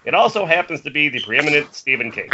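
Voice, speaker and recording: A man's voice: a little high, very animated, fast talker, pushed and bright. A man reading screenplay stage directions aloud as narrator of a table read. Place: at home on a group internet call. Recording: muffled, noisy internet call with clicks.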